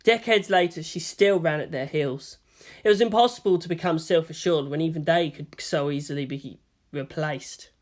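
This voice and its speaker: A woman's voice: low-pitched, normal speed. A woman reading scripted fan fiction as a story narrator.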